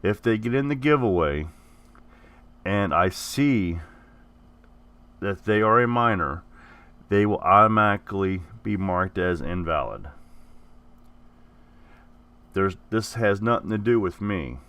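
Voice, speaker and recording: Gaming host, low male voice, a bit rough from the flu, rambles and restates himself. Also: lively intonation, slow pace, long pauses, fairly bright tone. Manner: rambling, repeats and corrects himself. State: hoarse, getting over the flu. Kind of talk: casual giveaway announcement.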